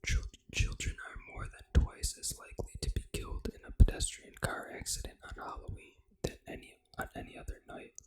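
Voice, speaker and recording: Steady unvoiced ASMR whisper. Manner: hushed, deliberate reading. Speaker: ASMR gaming channel host. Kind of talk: whispered ASMR, reading out Halloween facts.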